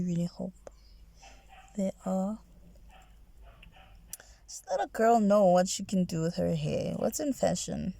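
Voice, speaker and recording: Nasal lady rambling soft asmr style, slow and creaky, drifts into whispers, dry close sound. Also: medium pitch, dry recording. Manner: rambling, restarting sentences. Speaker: ASMR creator.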